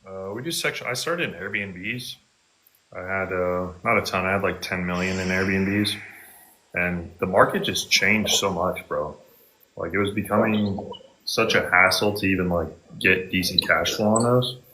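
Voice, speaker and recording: A man's voice: low voice, measured pace, pushed and bright, slight background noise, poor audio. A man in his twenties talking as a guest on a casual call, laid-back and slangy, saying bro a lot. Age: 20s.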